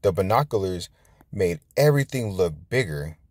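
A man reading a children's storybook aloud as a storytime host, expressively and with drawn-out words. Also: low-pitched, expressive, darkish tone, slightly rough, no room sound. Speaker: storytime channel host and reader.

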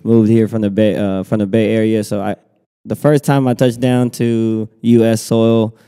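A man's voice: lowish voice, little pitch movement, moderate pace, clear, resonant tone. Laid-back young man, roomy reverb. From Philippines. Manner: laid-back, laughs often, gives shout-outs. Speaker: guest rapper. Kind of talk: casual podcast chat. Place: small office studio room. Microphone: desk mic on stand.

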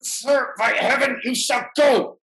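A middle-aged man playing a scheming, flustered gentleman in a period stage comedy, declamatory and exasperated. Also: very high voice, slow, gravelly, full character voice. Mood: furious. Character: scheming, blustering English gentleman nephew, easily flustered. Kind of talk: theatrical period comedy acting over video call. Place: indoor room at home. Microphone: computer mic on video call. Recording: roomy video-call audio.

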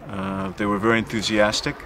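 An older man, a visiting film teacher, speaking in a measured, reflective way with hesitant fillers in an outdoor interview. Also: on the low side, little pitch movement, sharp tone. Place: rooftop terrace, outdoors. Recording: outdoor city noise behind.

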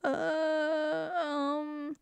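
A woman's voice: high-pitched, monotone, very slow, gravelly, dry recording. A woman with an Australian accent in a close-mic ASMR roleplay as a wife, playful and giggly.

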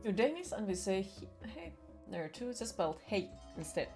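A woman's voice: medium pitch, sing-song, normal speed, gravelly. Chatty Finnish-accented woman streamer. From Finland. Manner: chatty, playful, swears casually. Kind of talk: casual chat with chat while gaming. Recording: faint game music behind.

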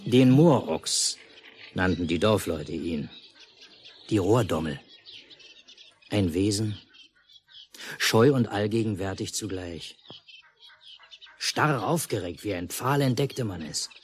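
Calm storyteller, fairly low voice, measured literary narration, 1950s radio play, faint recording noise. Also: male voice. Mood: measured storytelling. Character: calm storyteller of a lakeside village tale.

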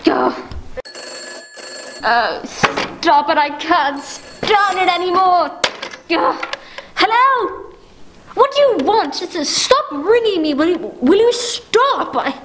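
A woman's voice: very high voice, sing-song, slow pace, pauses often, a bit bright, heavily acted. A young woman acting an imperious, nervous wife in a 1940s-style radio melodrama, stammering and breathless with panicky indignation. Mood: frantic. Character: frantic, imperious, nervous invalid wife on the telephone. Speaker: amateur actor in a stage adaptation of a radio play. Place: dark stage, indoors. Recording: clearly noisy amateur stage recording.

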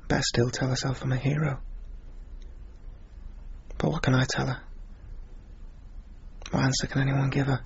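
An actor playing a plaintive, humble young dead soldier with a husky, creaky voice, in a radio drama monologue. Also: male voice, medium pitch, dull audio.

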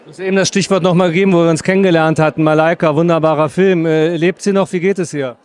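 Fast-talking, high-voiced middle-aged man interviewing. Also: fairly even intonation, darkish tone, no room sound. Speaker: interviewer and host. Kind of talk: red-carpet film interview. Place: busy cinema foyer. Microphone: handheld interview microphone.

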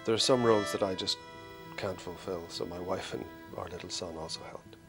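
A man in his forties giving a measured, explanatory scripted narration as an illustrator presenting his creative process. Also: low voice, fairly even intonation. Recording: music behind the voice. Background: prominent plucked guitar music.

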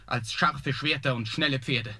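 An actor playing a young prince who calmly and earnestly reassures his father. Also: medium pitch, measured pace, slightly rough, full character voice. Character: young prince, calm and clever son.

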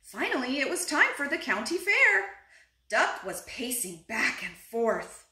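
A woman reads a picture book to young children in a bright, fairly high voice. Her delivery is expressive and deliberate, as if speaking straight to little listeners. The recording has a roomy, echoing indoor sound. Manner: deliberate, animated, puts questions to young listeners. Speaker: storyteller reading picture books to children. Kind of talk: expressive read-aloud of a children's storybook. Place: living room indoors, seated on a couch. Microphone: camera a short distance away.

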